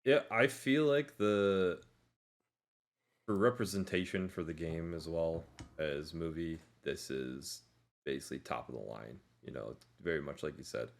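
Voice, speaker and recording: A man's voice: a bass voice, unhurried, vocal fry. A man co-hosting a podcast, talking informally in a casual discussion and hedging his points as he goes. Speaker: podcast co-host.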